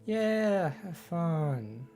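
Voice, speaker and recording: A woman chatting casually as a co-commentator on a let's play, in a tentative, low-key way. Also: sing-song, drawn-out words, warm tone, soft background music. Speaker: game co-commentator.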